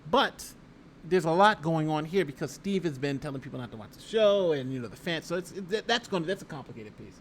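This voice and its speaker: A man's voice: a little high, lively intonation, fairly quick, slight background noise. A man giving casual live legal commentary as a lawyer, reading a document aloud and reacting in an emphatic, conversational way, often repeating phrases. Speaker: lawyer hosting a livestream.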